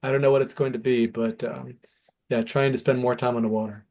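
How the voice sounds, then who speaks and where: A man's voice: mid-range voice, level tone, brisk, darkish tone. Hesitant man chatting on a call. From United States. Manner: hesitant, many fillers. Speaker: app founder. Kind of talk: casual video call chat.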